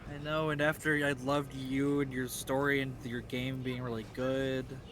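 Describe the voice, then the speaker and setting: A man's voice: medium pitch, flat delivery, moderate pace, background noise. A man from the US Midwest with a faint Midwestern American accent, chatting casually on a game stream in a rambling, self-interrupting way.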